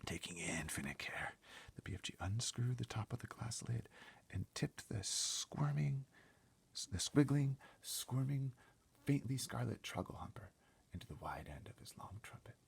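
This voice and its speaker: A man's voice: mid-range voice, very animated, normal speed, creaky, breathy edge. A man reading a children's story aloud as dramatic narration, theatrical and suspenseful, often dropping to a whisper. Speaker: storybook reader.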